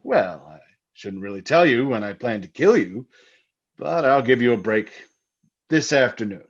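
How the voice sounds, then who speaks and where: Radio drama husband male actor: theatrical, taunting, jovial menace, very lively intonation, slightly muffled sound. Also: mid-range voice, moderate pace, breathy edge. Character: jovially menacing husband, keen hunter, teasing with murder talk. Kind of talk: old-time radio drama acting.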